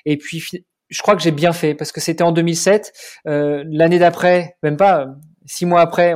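Hesitant man, fairly high voice. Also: darkish tone. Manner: hesitant, many fillers and restarts. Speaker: podcast guest. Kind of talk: casual interview.

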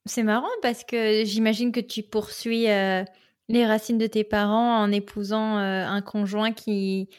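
Woman podcast host, mid pitch, chatting casually, teasing and reacting, informal questions. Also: level tone, unhurried, darkish tone, clean tone. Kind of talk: casual chat.

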